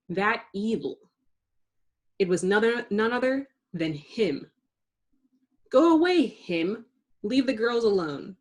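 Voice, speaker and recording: Very animated woman reading a children's story. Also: mid-range voice. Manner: dramatic emphasis, words stretched for suspense. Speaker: storybook reader. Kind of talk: expressive children's story narration.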